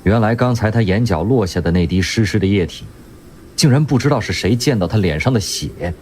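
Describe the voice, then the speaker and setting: A man narrating a radio drama in a vivid, expressive storytelling manner. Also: on the low side, fairly quick, a little hiss or hum. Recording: music underneath.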